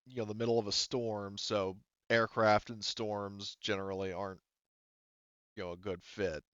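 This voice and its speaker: A man acting as game master, casually explaining things to players in a halting, informal way. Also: fairly low pitch, flat delivery, a bit bright, clean tone, no room sound, muffled recording. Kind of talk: casual tabletop game narration and chat.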